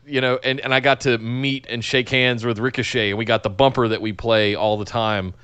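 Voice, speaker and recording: Male podcast co-host, big clear voice, loose rambling delivery with casual swearing, close dry mic. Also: medium pitch, fairly even intonation, fairly quick, a bit bright, dry recording. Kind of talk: casual wrestling podcast chat. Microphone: podcast mic close to face.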